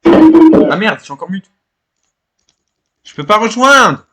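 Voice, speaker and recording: A man's voice: extremely high pitch, big pitch swings, takes it slowly, a bit bright, breathy edge, dull audio. A young man chatting casually in an online game voice chat, brash and full of slang and swearing. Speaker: online roleplay game player. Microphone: online voice chat microphone.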